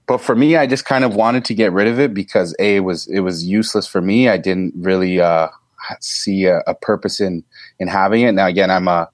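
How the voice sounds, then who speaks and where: A man in his thirties, a teacher, answering interview questions in a deep voice, thoughtful and hesitant with many ums and restarts. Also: fairly even intonation, fairly quick, dry recording. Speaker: teacher guest.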